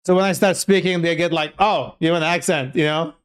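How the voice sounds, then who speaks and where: A man's voice: a little high, fairly even intonation, a little fast, clear, resonant tone. A man with a Turkish accent, a native Turkish speaker, chats casually and informally on a livestream, thinking aloud as he codes. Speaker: live streamer and programmer. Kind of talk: casual livestream chat while coding.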